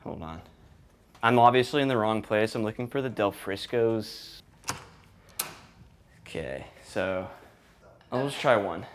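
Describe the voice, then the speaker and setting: A man chatting casually and swearing in exasperation as he works his way through a building. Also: lowish voice. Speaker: vlogger and sound technician hauling PA gear into a venue. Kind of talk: casual chat while working. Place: indoors in building hallways and elevators. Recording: noisy location sound inside a building.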